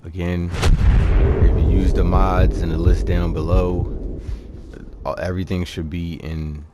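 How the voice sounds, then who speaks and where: A man's voice: sits low, flat delivery, slow pace, highs cut off, heavy background noise. A man giving casual, laid-back, slangy commentary over his own gameplay. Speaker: gaming host.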